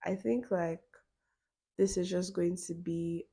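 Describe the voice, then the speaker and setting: A young woman, hosting her own podcast in a relaxed solo chat, full of "you know" fillers and quick laughs. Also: lowish voice, slightly rough. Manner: chatty, many "you know" fillers, laughs between phrases. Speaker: podcast host.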